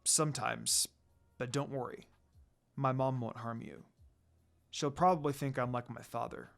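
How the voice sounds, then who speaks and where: A man voicing a solemn, tender demon lord in a fantasy story, speaking softly and in measured, earnest phrases. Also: steady tone, creaky. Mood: calm, fond. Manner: low, soft, measured, earnest. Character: solemn, tender, cool-headed male demon lord in love.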